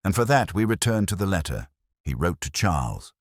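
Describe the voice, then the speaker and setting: Keen detective played by a male narrator, very low voice, precise, commanding, audiobook drama. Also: sing-song, brisk, no room sound, studio quality, full character voice. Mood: grave, commanding. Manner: precise, authoritative, deductive.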